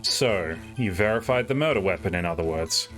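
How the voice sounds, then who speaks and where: A man's voice: sits low, animated, normal speed, fairly bright tone, faint music behind. A man voicing a sardonic male defense lawyer with pointed, theatrical questioning in a live game fan dub. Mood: probing.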